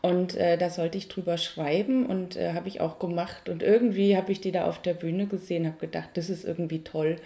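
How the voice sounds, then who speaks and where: A woman's voice: fairly low pitch, fairly even intonation, a little fast, vocal fry, few pauses, mellow. A woman in her thirties from Franconia with a Franconian accent, a cabaret artist answering interview questions in a thoughtful, hesitant way with many fillers and restarts. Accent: Franconian German. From Franconia, Germany.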